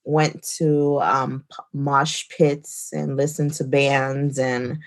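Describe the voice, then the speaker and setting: Low-voiced woman telling stories. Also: slow pace. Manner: relaxed, storytelling, laughs between points. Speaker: podcast co-host. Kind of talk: casual conversation.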